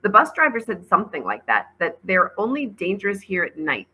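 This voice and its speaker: A woman's voice: mid-range voice, huge pitch swings, brisk, bright, forceful tone, slightly rough, full character voice, rough recording. A woman voicing a thoughtful, wary, slightly awkward girl in a storybook, reasoning aloud with some hesitation. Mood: uneasy.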